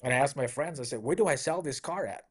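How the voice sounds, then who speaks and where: A middle-aged man from Malaysia tells stories in a relaxed, upbeat interview manner. He leans on "you know" and keeps the anecdotes rolling in a mid-range voice. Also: mid-range voice, fast, husky. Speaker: car dealership owner, guest. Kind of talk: conversational interview storytelling.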